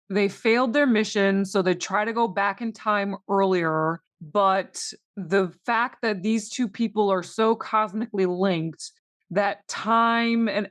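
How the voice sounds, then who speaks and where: A woman co-hosting a podcast in relaxed, conversational discussion. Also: mid-range voice, no room sound. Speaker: podcast co-host.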